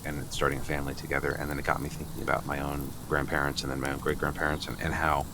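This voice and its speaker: Deep-voiced, flustered, stammering boyfriend played by a man. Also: completely flat delivery, rapid-fire, background noise. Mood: anxious backpedaling. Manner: stammering, agreeable, repeats himself. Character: flustered, over-apologetic boyfriend asking for a blessing. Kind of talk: improvised comedy radio play.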